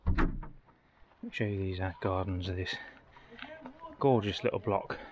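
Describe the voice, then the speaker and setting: A man's voice: low voice, unhurried, noisy background. A man giving casual, informal vlog commentary, thinking aloud as he walks outdoors. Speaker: travel vlogger. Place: outdoors, walking around a village churchyard.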